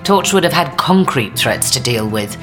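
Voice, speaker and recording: Cool hard-nosed interrogator, deep voice, dry and insistent, very varied intonation. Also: female voice, expressive, moderate pace, music in the background. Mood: cool, probing. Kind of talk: audio drama acting.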